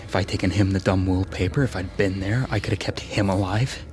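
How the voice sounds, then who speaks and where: An actor playing an emotional young man, a student in a radio drama, with halting, pleading speech. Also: deep voice, fairly even intonation, lightly acted. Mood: grieving. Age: young adult. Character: earnest, distraught young male student, younger brother.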